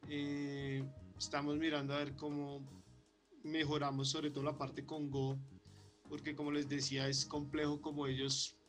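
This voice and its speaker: A man answers conference questions over a video call, his voice fairly high and almost flat. He hesitates often and repeats words as he works through his answers. Faint music plays behind him. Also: normal speed. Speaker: conference speaker. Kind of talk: conference talk and Q&A.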